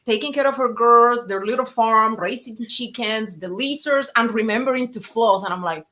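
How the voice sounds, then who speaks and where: A woman's voice: on the high side, normal speed. A woman from Cuba with a Cuban Spanish accent, chatting casually on a podcast as a guest and telling stories with many fillers and restarts. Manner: animated, storytelling, frequent fillers and restarts. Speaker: guest speaker.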